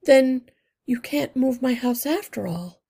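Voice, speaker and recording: A woman's voice: high voice, animated, takes it slowly, vocal fry, dark tone, husky, voice pushed up, exaggerated voice. A woman reading aloud and voicing a worried but determined mother mouse, pleading earnestly. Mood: puzzled, worried.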